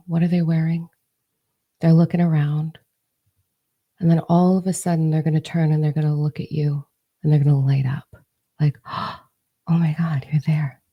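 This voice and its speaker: Low gravelly woman guiding meditation. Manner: slow soothing instructions, soft whispered phrases. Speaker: meditation guide. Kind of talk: guided inner-child meditation.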